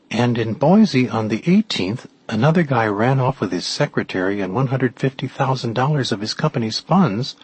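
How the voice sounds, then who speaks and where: Man voicing brisk young woman, husky and animated. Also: mid-range voice, pitched above the performer's own voice, poor audio. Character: brisk, eager young woman researcher reporting her findings. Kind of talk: audiobook character dialogue.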